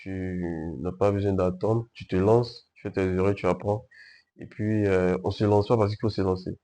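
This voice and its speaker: A young man from Ivory Coast, a guest answering in a casual interview, calm and hesitant, searching for his words. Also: deep voice, normal speed. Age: young adult. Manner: hesitant, calm, frequent fillers and restarts. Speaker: guest entrepreneur.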